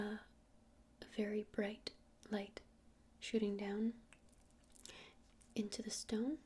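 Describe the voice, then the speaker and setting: A woman playing a gentle witch shopkeeper in an ASMR roleplay, speaking in a breathy whisper and explaining things in an unhurried, hesitant, soothing way. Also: medium pitch. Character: gentle witch shopkeeper showing crystals to a customer.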